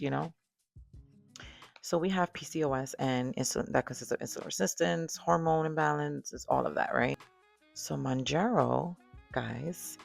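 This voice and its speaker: A woman's voice: low-pitched, vocal fry. A woman with a Dominican accent, hosting a podcast and chatting candidly about her own experience, with lots of fillers and asides. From Dominican background. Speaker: podcast host. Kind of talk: casual personal talk to camera.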